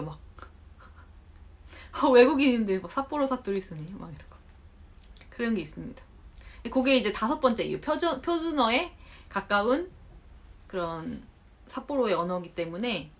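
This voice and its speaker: A Korean woman in her thirties talking casually to camera, chatty and animated, acting out remarks she quotes. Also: mid-range voice. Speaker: vlogger. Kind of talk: unscripted talk to camera. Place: home in Japan.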